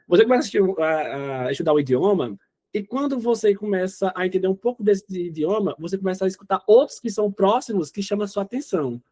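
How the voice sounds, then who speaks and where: A man's voice: high-pitched, a little mellow. A man in his twenties from Northeast Brazil, chatting casually as an interview guest. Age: 20s. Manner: chatty, often says "né?" and "entendeu?".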